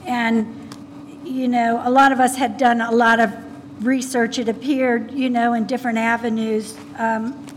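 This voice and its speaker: A woman on a school board speaking at a public meeting, soft and mousy in voice, hesitant with many fillers and restarts. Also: on the high side, a little hiss or hum. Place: meeting room.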